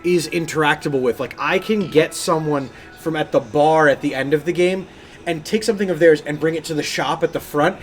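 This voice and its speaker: A man's voice: fairly high pitch, fairly quick, faint music behind. A man co-hosting a gaming podcast, chatting casually and enthusiastically. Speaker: podcast co-host and game streamer.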